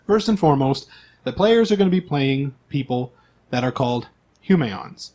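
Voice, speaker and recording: A man's voice: fairly high pitch, a little mellow. A man speaking as host and game master, explaining things to players and listeners in a chatty, casual way.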